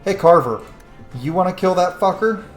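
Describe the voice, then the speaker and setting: Animated, sweary man commentating a game. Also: high voice, normal speed, soft background music. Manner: sweary, chatty, theatrical. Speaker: host of a video game let's-play. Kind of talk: casual gaming commentary. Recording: roomy echo.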